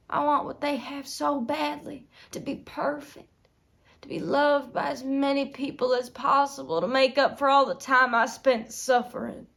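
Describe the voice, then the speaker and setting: A woman's voice: high-pitched, gravelly, a bit bright, heavily acted. A woman acting a desperate, tormented young farm wife in an intense dramatic film monologue. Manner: intense, swinging from whispers to anguished outbursts. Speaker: acting student.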